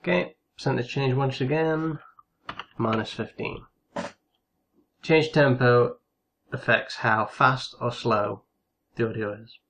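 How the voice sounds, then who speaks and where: A man giving a casual step-by-step software tutorial as its host, in an instructional voice-over. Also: mid-range voice, takes it slowly, long pauses, slight background noise.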